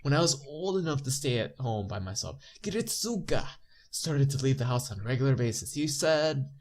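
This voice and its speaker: A man's voice: mid-range voice, animated, normal speed. A man with a stuffy nose reading visual novel narration aloud as a let's play narrator, adding casual commentary. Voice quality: stuffy-nosed. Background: game music.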